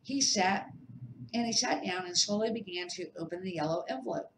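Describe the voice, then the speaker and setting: A woman's voice: lowish voice, fairly quick, noisy background. A middle-aged woman reading a children's novel aloud as an amateur, careful and sometimes stumbling over the words. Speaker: amateur storybook reader. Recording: echoey, roomy sound.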